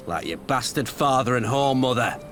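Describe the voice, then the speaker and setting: A man's voice: mid-range voice, lively intonation, normal speed, a bit bright, faint music behind, natural voice. An actor playing a hateful townsman in a video game, sneering, taunting and full of contempt. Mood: contemptuous, taunting. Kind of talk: dramatic video game voice acting.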